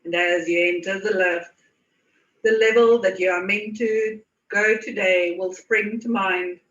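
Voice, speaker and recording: A woman leading a guided meditation in a soothing manner, with unhurried pauses and repeated phrases. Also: mid-range voice, fairly even intonation, a bit bright. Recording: muffled, echoey, distant from mic.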